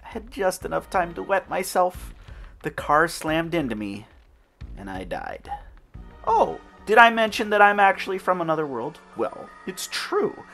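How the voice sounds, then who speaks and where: A man's voice: sits high, very animated, unhurried, gravelly, pushed and bright, faint music behind. A man giving a wry, theatrical first-person reading of his own fan fiction. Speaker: narrator.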